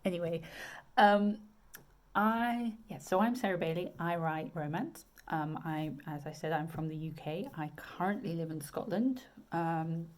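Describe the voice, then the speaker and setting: A woman's voice: on the low side, measured pace. A woman with a southern English accent, a romance author chatting casually to camera about her books, with many ums and restarts. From England.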